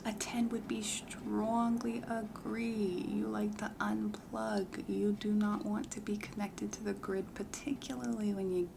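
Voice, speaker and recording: Woman doing a self-assured matchmaker lady, asmr comedy, super creaky breathy whisper, noisy background. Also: mid-range voice, little pitch movement, measured pace, few pauses. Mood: patient, businesslike. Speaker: host of comedy ASMR roleplay. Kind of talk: soft-spoken whispered ASMR roleplay questionnaire.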